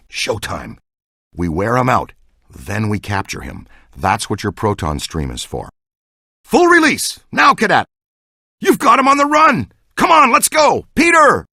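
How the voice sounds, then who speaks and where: Middle-aged ghostbuster type for a game, eager team leader, swings all over, super dry sound. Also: male voice, mid-range voice, vocal fry, pushed and bright, breathy edge, dry recording, exaggerated voice. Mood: grave, determined, then urgent, shouting. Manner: enthusiastic, slangy, folksy team-leader talk. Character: eager, excitable middle-aged male ghost hunter mentoring a rookie. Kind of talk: video game voice acting.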